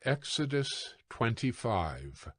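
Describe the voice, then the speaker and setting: A man narrating scripture from a script in a solemn, measured manner. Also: mid-range voice, big pitch swings, a bit bright, no room sound, clean studio sound.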